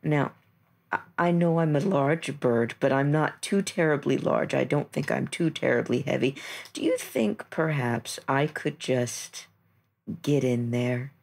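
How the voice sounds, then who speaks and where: A woman's voice: rumbling low, a little slow, creaky, a little mellow, full character voice. A woman voicing a timid, polite large bird in a hesitant, apologetic way for a tabletop game.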